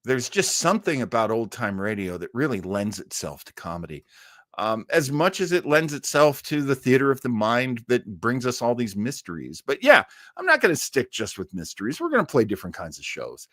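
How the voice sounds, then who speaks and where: A man's voice: mid-range voice, sing-song, fairly quick, a bit bright, no echo, studio quality. A man hosting a livestream, chatting casually with viewers in a rambling, stop-start way. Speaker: host of a livestream show.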